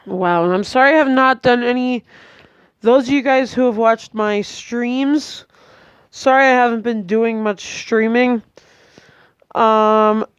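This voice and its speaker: A tired, congested young woman, giving a hesitant, chatty vlog update at home with many pauses and restarts. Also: on the high side, smooth, no echo, muffled recording. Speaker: vlogger. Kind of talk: casual rambling update to camera.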